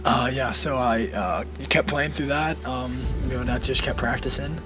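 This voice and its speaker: A young man from Colorado, a college baseball player, hoarse from a cold, answering interview questions in a rambling, easygoing way with many fillers. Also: mid-range voice, moderate pace, over music. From Colorado, USA. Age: young adult.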